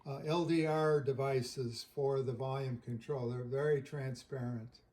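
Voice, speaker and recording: An older man chatting casually in an interview, explaining things in a halting, thoughtful way. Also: mid-range voice, fairly even intonation, dark tone. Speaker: interview guest, audiophile hobbyist. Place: indoors at home listening room. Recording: roomy, echoey home recording.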